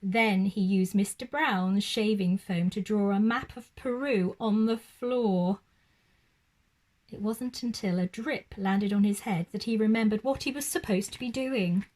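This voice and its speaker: A woman's voice: mid-range voice, measured pace, darkish tone. A woman telling a children's story expressively, like a teacher reading aloud.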